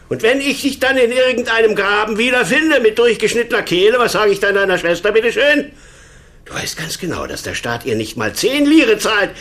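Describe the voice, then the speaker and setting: An actor playing a concerned, moralising police boss in a radio crime drama, admonishing and insistent. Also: male voice, high voice, fairly bright tone.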